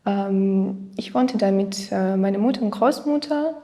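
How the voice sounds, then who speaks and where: Slow hesitant young woman, gravelly. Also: mid-range voice, dark tone. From Ukraine. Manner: hesitant, many fillers, slow and searching for words. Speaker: interviewee, dancer. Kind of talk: documentary interview.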